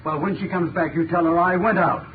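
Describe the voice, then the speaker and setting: A man's voice: high-pitched, fairly even intonation, fairly quick, lightly acted. An actor playing an imperious, fretful wealthy old man in 1930s radio drama style. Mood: indignant, stubborn. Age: older. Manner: imperious, blustering. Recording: tinny, noisy 1937 radio recording.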